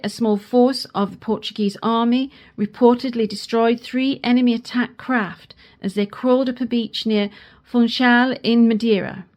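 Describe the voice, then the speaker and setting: An actress playing a formal, earnest female news anchor in a science fiction radio play, speaking in measured phrases. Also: on the high side, a little mellow, lightly acted. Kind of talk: scripted radio news acting.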